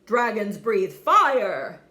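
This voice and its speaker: Woman putting on a forceful, stern dragon dad. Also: medium pitch, big pitch swings, echoey room, heavily acted. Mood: indignant. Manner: emphatic, forceful, drawn-out. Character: stern, demanding father dragon.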